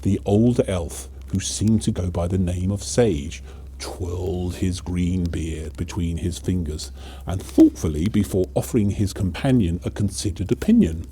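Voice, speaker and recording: Male storyteller, very soft dark tone, lively swings of pitch, theatrical children's tale delivery. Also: unhurried, husky. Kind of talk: dramatic children's storybook narration.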